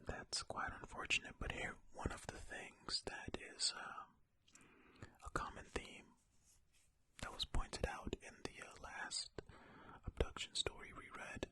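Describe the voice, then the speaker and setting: An ASMR host speaking in a breathy, unvoiced whisper, reading aloud and commenting in a gentle, chatty, informal way. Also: unhurried.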